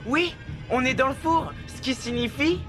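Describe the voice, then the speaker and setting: A woman playing a dramatic, anxious, joking young schoolboy in a French-dubbed children's cartoon, expressive in delivery. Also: high-pitched, moderate pace, over loud music, lightly acted. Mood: resigned. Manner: melodramatic, expressive.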